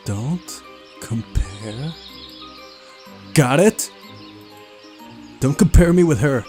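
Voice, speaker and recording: A man voicing a guarded, resentful young woman who is quick to anger, in a visual novel reading. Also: medium pitch, animated, a little mellow, faint music behind, pitched above the performer's own voice. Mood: enraged.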